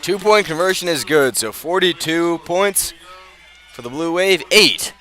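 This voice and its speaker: A man calling live American football play-by-play for radio, moving between measured narration and raised, excited calls. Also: on the high side. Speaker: play-by-play sports commentator. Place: outdoors at a high school football field. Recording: noisy outdoor stadium, live broadcast.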